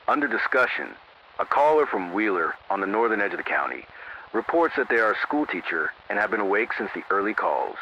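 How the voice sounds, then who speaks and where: Man acting as sober AM emergency announcer: low, forceful, formal and measured, analog horror radio drama. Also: full character voice. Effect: AM radio filter. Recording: narrow-band AM radio with static noise.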